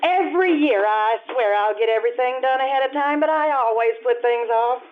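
A woman's voice: on the high side, fairly quick, narrow, tinny audio, background noise, close to an everyday voice. An actress playing a harried, wistful mother and housewife in old-time radio drama style, telling her story expressively. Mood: exasperated. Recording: thin radio-like sound with music.

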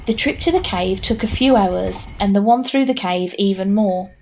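A woman's voice: mid-range voice, normal speed, gravelly, darkish tone, poor audio. Wry woman narrator, faint background noise. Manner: wry, conversational. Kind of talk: scripted storytelling with wry asides.

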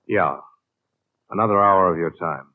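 Actor as a low-voiced, dogged radio detective man. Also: normal speed, lightly acted. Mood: businesslike, probing. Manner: clipped, matter-of-fact, persistent. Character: dogged, dry insurance investigator narrating his case. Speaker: lead actor and narrator. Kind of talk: 1950s radio detective drama acting. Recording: tinny, noisy old radio recording.

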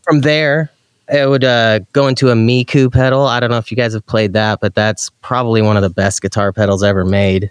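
A man with a clear, smooth voice talks casually as an interview guest. He sounds like a laid-back enthusiast, full of slang. The sound is dry, with no room around him. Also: mid-range voice, steady tone, brisk, no echo. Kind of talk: casual chat.

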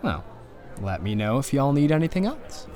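A man's voice: mid-range voice, very animated, normal speed, dark tone, faint music behind, lightly acted. An actor playing a friendly, easygoing male restaurant server in a naturalistic audio drama. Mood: friendly, amused.

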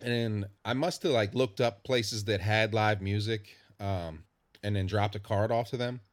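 An easygoing man chatting as a guest on a podcast. His voice is low and dry-sounding, with no room echo, and he tells his stories loosely, stretching out his "like"s and "um"s. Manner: easygoing storyteller, drawn-out fillers. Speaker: musician guest. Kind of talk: casual interview chat.

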